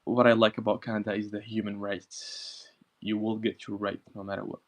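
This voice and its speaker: A young man in his twenties introduces himself, his low voice full of gravelly fry. His delivery is casual and a little subdued, and he often says "like.". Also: little pitch movement, moderate pace. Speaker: meetup participant. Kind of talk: self-introduction.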